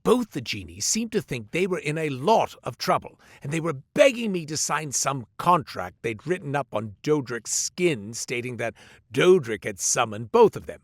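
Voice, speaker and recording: An actor playing a sly, smooth-talking male merchant who spins tales while selling gear, in a game. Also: mid-range voice, a bit bright, dry recording, clean studio sound. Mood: salesy. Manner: theatrical, salesman patter, wry. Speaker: shopkeeper narrating a tale. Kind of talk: studio game voice lines. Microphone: close studio mic.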